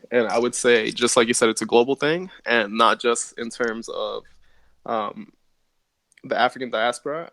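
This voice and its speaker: A male guest from the Dominican Republic explains his views calmly. He sounds a little sleepy. His voice is recorded dry, with no room echo. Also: medium pitch. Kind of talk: casual discussion.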